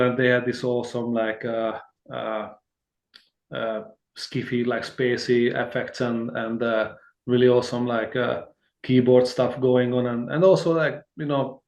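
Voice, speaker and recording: A man's voice: mid-range voice, level tone, unhurried, reverberant. A man from Hungary, speaking, talks in a rambling, friendly way as a guitarist guest in a casual interview. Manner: rambling and friendly, many fillers and restarts, laughs easily.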